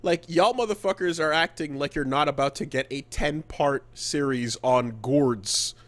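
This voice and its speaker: Halting man in casual podcast chat. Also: a little high. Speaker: podcast co-host. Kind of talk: casual podcast chat about video games.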